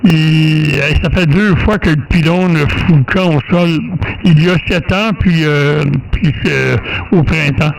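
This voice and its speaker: A man with a Quebec French accent chatting casually as a radio operator, folksy and halting, often repeating words. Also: fairly high pitch, vocal fry, rough recording. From Quebec, Canada. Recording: faint radio noise.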